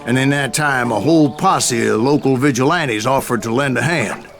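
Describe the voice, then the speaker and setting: An actor playing a gruff old gunslinger in a video game, telling tall tales in a salty, folksy American Western drawl. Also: male voice, mid-range voice, normal speed, faint music behind, natural voice. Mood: wry storytelling. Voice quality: gravelly.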